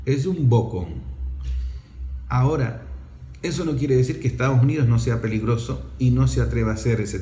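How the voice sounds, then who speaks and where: A man's voice: medium pitch, warm tone. A man delivering an opinionated political monologue as a commentator, emphatic and scornful, often asking rhetorical questions. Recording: echoey room.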